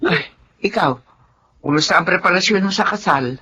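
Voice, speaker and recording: A woman playing a supportive middle-aged Filipino mother and wife with a husky voice in a radio drama. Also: on the low side, expressive, normal speed, pushed and bright, exaggerated voice. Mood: teasing, advising. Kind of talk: Tagalog radio drama acting.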